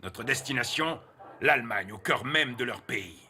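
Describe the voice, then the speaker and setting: A man's voice: mid-range voice, lively intonation, moderate pace, pushed and bright, slight background noise. A man playing a stern, businesslike army officer in a French-dubbed war film, clipped and authoritative.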